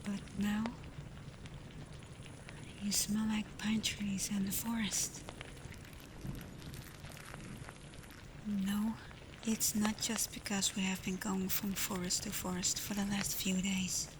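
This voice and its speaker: A woman playing a tender, protective alpha werewolf in an intimate ASMR roleplay, speaking softly and breathily, often in a whisper. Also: mid-range voice, flat delivery, lightly acted. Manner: slow, gentle, hesitant when confessing feelings. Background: ambient storm noise.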